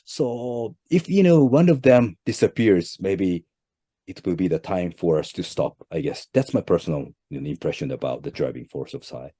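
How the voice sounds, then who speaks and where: A Japanese man with an accent, answering interview questions in halting English, repeating words as he goes. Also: expressive, mellow, husky, dry recording, studio quality. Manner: halting, repeats words, pitch jumps up at each new point. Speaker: band leader, interview guest.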